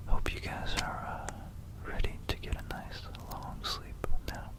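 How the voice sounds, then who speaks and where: An ASMR host speaking in a breathy, unvoiced whisper, with a gentle, rambling, hesitant bedtime delivery in an informal style. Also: slow pace. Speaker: ASMR video creator and host.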